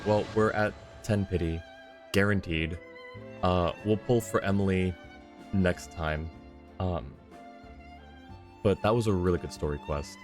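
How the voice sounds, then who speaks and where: Deep voiced guy streaming a game, rambly n easygoing, thinking out loud, music playing behind him. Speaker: game livestreamer. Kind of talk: casual livestream chat while playing a game. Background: background game music.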